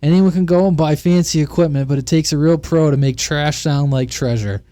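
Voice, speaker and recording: A man's voice: on the high side, brisk, warm tone, dry recording, lightly acted. A man voicing a laid-back, unbothered CB radio buddy in a casual, folksy story. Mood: proud.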